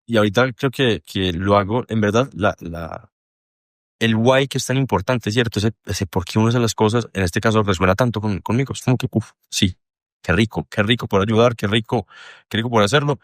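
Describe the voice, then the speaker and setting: Podcast guest: young Colombian man, low voice, dry close mic, rambling and self-mocking, swears freely. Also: fairly quick, no room sound. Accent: Colombian Spanish. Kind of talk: candid casual conversation.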